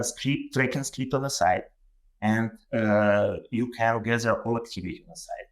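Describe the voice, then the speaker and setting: A man's voice: mid-range voice, low-quality recording. A man with a Ukrainian accent, a native Ukrainian speaker, giving a webinar presentation over a video call, with many fillers and restarts. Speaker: guest presenter.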